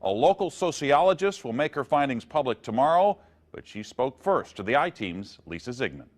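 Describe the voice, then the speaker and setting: A man's voice: mid-range voice, sing-song, normal speed. A man anchors a television newscast in an American accent. His voice sits in a middle register, and he delivers scripted copy as a presenter. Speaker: news anchor. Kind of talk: scripted television news narration.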